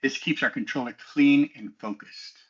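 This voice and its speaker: A man's voice: medium pitch, normal speed, a bit bright, breathy edge, highs cut off. A man giving a methodical, explanatory technical walkthrough as a student developer, in a semi-scripted presentation style.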